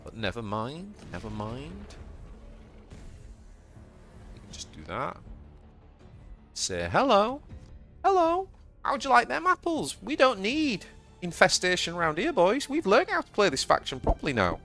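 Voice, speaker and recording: A man with a Yorkshire accent streaming a card game live, chatting to viewers and yelping with excitement at surprises. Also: a little slow, long pauses, faint music behind. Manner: excitable, bursts into high yelps at surprises. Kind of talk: live gameplay commentary.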